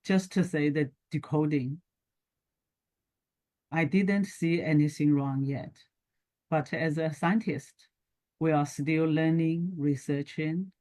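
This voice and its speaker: A middle-aged woman from China with a Chinese accent, speaking haltingly in short phrases as a guest in an interview. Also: sits low, darkish tone. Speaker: guest, scientist and entrepreneur. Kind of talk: talk show interview, telling personal background.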